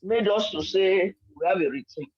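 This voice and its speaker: A woman's voice: mid-range voice, moderate pace, a little mellow, breathy edge. An older Nigerian woman with a Nigerian accent, arguing politics as a caller, insistent and talking over the host. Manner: insistent, talks over the host, repeats herself. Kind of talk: heated political call-in argument. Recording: muffled lo-fi line.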